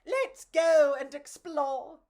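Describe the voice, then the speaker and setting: Lady doing tiny brave cockroach guy for a kids book, high pitched, chirpy, eager, shouting out exclamations. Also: lively intonation, slow. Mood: eager, excited. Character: bold, chirpy little male cockroach adventurer.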